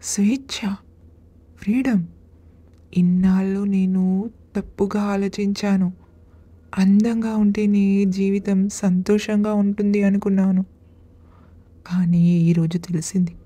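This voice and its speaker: An insecure crow from a children's animated fable, voiced by a woman. The tone is very dark and mellow, with a husky edge. The crow speaks with wistful admiration and questioning wonder. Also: medium pitch, measured pace, long pauses, close to an everyday voice. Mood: amazed, realizing. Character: insecure, admiring crow who learns self-worth. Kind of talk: children's animated moral story.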